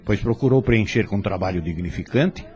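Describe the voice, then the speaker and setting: A man's voice: fairly low pitch, lively intonation, normal speed, a little mellow, lo-fi sound, lightly acted. A Brazilian man playing a serene, fatherly spirit instructor in an audio drama, speaking formally and consolingly as he teaches. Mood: calm, instructive.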